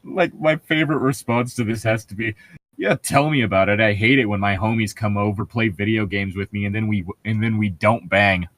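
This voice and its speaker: Lowish guy voice, opinionated podcast host type, swears a lot, really dry recording. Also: steady tone, a little fast, a bit bright, no echo. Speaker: host of an online channel. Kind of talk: casual joking chat.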